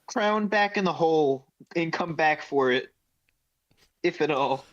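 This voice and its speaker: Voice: mid-range voice, animated, unhurried, dry recording, dull audio. A tabletop role-playing player chatting casually during the game.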